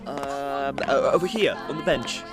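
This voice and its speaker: A woman's voice: rumbling low, measured pace, loud music behind the voice. A woman playing an earnest, wary and indignant companion in a science-fiction audio drama. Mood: bewildered.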